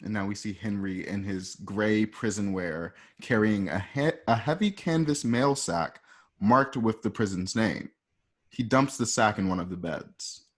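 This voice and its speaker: A man's voice: lowish voice, measured pace. A man narrating script scenes informally, in a chatty storytelling manner, as if walking listeners through each shot. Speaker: narrator reading stage directions. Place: at home.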